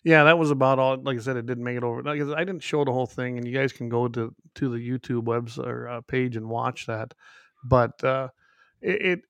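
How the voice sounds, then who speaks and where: Gravelly-voiced man on a glitchy call. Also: mid-range voice, fairly even intonation, brisk, a bit bright, dry recording. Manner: rambling with many restarts and fillers. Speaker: podcast co-host. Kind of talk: casual remote podcast chat.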